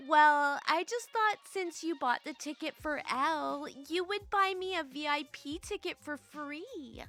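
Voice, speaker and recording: A woman's voice: sits high, a little slow, fairly bright tone, faint music behind, voice pushed up. A woman voicing an entitled, demanding woman who wants free things, presumptuous and pushy, in a story reading. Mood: breezy, presumptuous. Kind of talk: acted dialogue in story reading.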